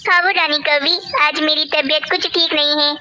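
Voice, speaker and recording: A woman's voice: high-pitched, flat delivery, moderate pace, sharp tone, muffled recording, a little hiss or hum. A woman voicing a poor, ailing mother sparrow in a cartoon, meek and reassuring. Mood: reassuring, weary. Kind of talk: Hindi cartoon voice acting.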